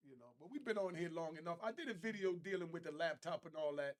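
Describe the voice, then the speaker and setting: A man's voice: a little high, lively intonation, quick pace. An American man hosting a video channel, chatting casually and off the cuff in a laid-back, slangy way. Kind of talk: casual unscripted sign-off chat.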